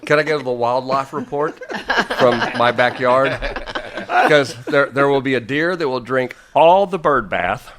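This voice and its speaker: A man from Houston, Texas, a comedian guest, telling stories in a relaxed way and laughing through them. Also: medium pitch, unhurried. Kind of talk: casual storytelling.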